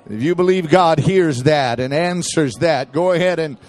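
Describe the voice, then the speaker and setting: A man's voice: fairly high pitch, normal speed, a little mellow, soft background music. A man pastor leading a church congregation in fervent prayer.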